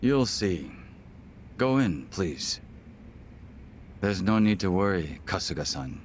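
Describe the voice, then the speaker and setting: An actor playing a composed, courteous, faintly menacing gang henchman in an English game dub, measured and smug. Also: low voice, big pitch swings, a little hiss or hum, heavily acted. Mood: smooth, restrained. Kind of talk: English video game voice acting.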